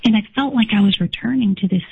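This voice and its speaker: A woman whose first language is German, hoarse today, telling her life story earnestly as an interview guest, often restarting her sentences. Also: fairly high pitch, fast. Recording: tinny phone-like line.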